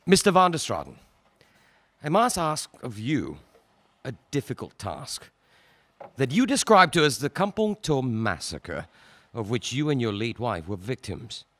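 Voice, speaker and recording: A man acts out a male prosecutor in a courtroom drama, grave and courteous. His formal questions rise and fall dramatically in pitch. The sound is dry, with hardly any room. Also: wildly swooping pitch, a bit bright, no room sound, full character voice. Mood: grave, probing. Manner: formal, measured, solemn. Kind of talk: stage-play courtroom acting.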